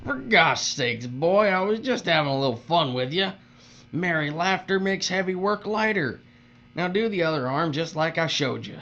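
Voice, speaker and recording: An actor playing a folksy, boastful, bullying foreman with mocking turns of phrase in a dark dystopian audio drama. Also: a little high, lively intonation, moderate pace. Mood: gleefully mocking. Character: cruel, folksy, boastful bullying foreman who fawns on bosses. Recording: dull audio with faint mains hum.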